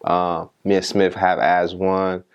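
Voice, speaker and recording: Very low-voiced young guy from liberia, podcast host, rambles and repeats himself, chill chat. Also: level tone, slow pace, smooth, highs cut off. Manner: warm, repetitive, restarts phrases often. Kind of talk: casual interview chat.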